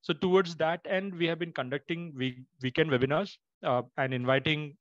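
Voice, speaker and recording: A man giving a formal introduction as a webinar host, speaking in a halting, measured way. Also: fairly high pitch, steady tone, fairly bright tone, no echo, lo-fi sound. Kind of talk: formal introduction, unscripted remarks.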